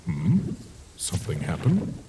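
A man voicing a gentle, worried older skeleton monster brother in a comic dub, measured and thoughtful. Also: low voice, wildly swooping pitch, noisy background, heavily acted. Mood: concerned.

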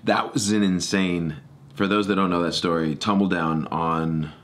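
Very deep-voiced American podcast host, a man. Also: steady tone, normal speed, a bit bright. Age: middle-aged. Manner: rambling, self-correcting storytelling. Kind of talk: casual solo podcast chat. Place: small home studio room. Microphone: close boom-arm podcast microphone.

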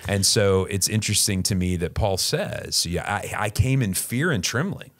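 Brief: low-voiced middle-aged male pastor host, easygoing, questioning podcast talk. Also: lively intonation, normal speed. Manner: reflective, leans on "right?" as a tag question. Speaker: pastor hosting a sermon discussion podcast. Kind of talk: casual podcast conversation. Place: small dark studio room. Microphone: desk mic on a boom arm.

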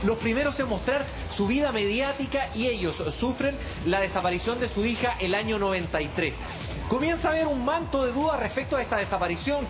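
A man reading a scripted news voice-over with dramatic delivery. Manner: dramatic narration with sweeping pitch. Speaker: news reporter. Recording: music bed behind the voice.

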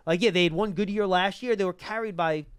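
Male sports show host, higher voice, clean and smooth, emphatic explainer, dry close-mic studio sound. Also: fast talker, no echo. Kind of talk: live sports talk and analysis. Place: small indoor broadcast studio. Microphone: close broadcast mic on boom arm.

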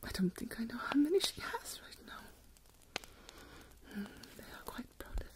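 Woman performing a nervous older mother, high-pitched whisper, plaintive, melodramatic, self-pitying, ASMR period roleplay. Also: sing-song. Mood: wistful, fretting. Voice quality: breathy whisper. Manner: plaintive, dramatic, drawn-out sighing phrases.

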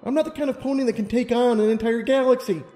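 A man acts out a flustered young pony mare from a storybook. The voice sits high and swings widely in pitch, stumbling through earnest protests full of self-doubt. It rings with long hall reverb. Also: lively intonation, brisk, mellow, soft background music. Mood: anguished doubt. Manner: hesitant, stammering protests. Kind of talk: audiobook character reading.

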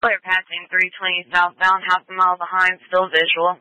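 A woman working as a police dispatcher, reading back coded messages over the radio in a flat, clipped way. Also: fairly low pitch, normal speed, no room sound, low-quality recording. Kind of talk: police dispatch read-backs. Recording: dry police scanner radio audio.

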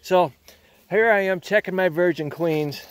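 A man's voice: high voice, unhurried. Older man talking while tending beehives. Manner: rambling, frequent restarts, gripes about other beekeepers. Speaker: beekeeper. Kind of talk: casual hands-on demonstration. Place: outdoors among beehives in a yard.

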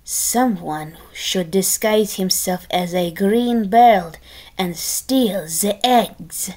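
Woman as a polite, slow-spoken pig chef. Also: medium pitch, a little mellow. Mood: deferential. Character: deferential, scheming male pig chef.

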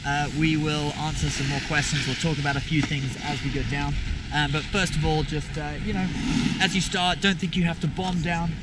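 A young man explains skiing technique out on the slopes, speaking loosely with fillers and little "okay?" checks. His voice sits a touch high for a man. Heavy wind roar buries the action-cam audio. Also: fairly even intonation, normal speed. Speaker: ski instructor. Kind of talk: instructional vlog. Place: outdoors on a ski slope. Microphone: action camera on a pole. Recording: loud wind noise outdoors.

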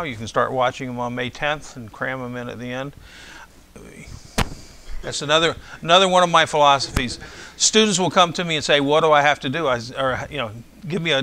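A man's voice: medium pitch, bright, forceful tone, slight background noise. An older man lecturing to a class in a large hall, speaking conversationally through a lapel mic and often asking "okay?". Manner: conversational, rambling, checks in with "okay?". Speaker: university professor.

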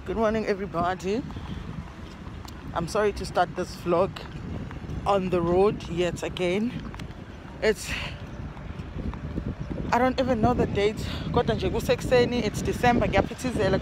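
A woman's voice: mid-range voice, steady tone, normal speed, long pauses, very noisy. A young South African woman chatting casually to her phone camera outdoors, rambling and correcting herself as she talks. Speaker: vlogger. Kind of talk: casual vlog chat to camera, mixing English and Zulu. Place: outdoors in a parking lot. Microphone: phone camera at arm's length.

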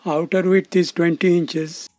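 A man's voice: a little high, little pitch movement, unhurried, darkish tone. A man giving scripted, step-by-step narration as the presenter of a technical demonstration. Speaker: presenter of a loudspeaker testing demonstration.

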